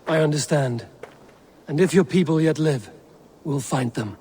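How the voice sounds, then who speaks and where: A man voicing a stoic Viking raider in a video game, speaking in a measured, solemn, terse way. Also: on the high side, warm tone, slight background noise, close to an everyday voice. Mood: calm, reassuring. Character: stoic male Viking raider and clan warrior. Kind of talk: video game dramatic acting.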